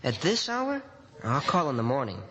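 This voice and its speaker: A young man as the practical big brother in a vintage radio drama. He swings widely in pitch as he reassures in a brotherly, expressive way. Long reverb hangs on the old recording. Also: normal speed, noisy background. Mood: reluctant, firm.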